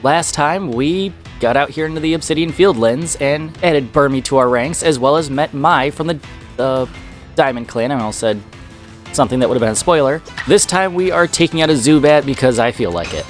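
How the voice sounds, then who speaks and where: A man's voice: on the high side, animated, a little fast, soft background music. Chatty man narrating his let's play. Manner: chatty, reacting aloud to the game. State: slightly sick, voice a bit off. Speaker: gaming channel host.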